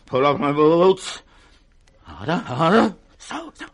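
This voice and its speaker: An older British man playing a mad, grandiose old king in a classic radio Shakespeare drama, declaiming erratically between roars and hushed brooding. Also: fairly high pitch, very animated, slow pace, heavily acted. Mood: scornful, ranting. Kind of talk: 1960s radio Shakespeare acting.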